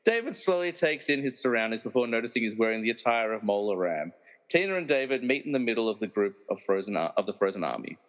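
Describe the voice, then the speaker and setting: Theatrical comedy narrator played by a man, mid-pitched and very animated, dry mocking asides, long hall reverb. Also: quick pace. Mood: storytelling. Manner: wry, theatrical. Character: wry, meddling movie narrator who mocks the characters. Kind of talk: dramatic scripted narration with comic asides.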